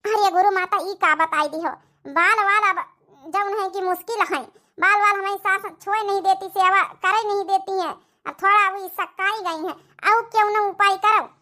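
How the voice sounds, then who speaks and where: A woman's voice: extremely high pitch, fairly even intonation, normal speed, bright, forceful tone. A woman voicing a sneaky young village sister-in-law with an Awadhi accent, wheedling and chattering in a comedy cartoon. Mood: pleading, scheming.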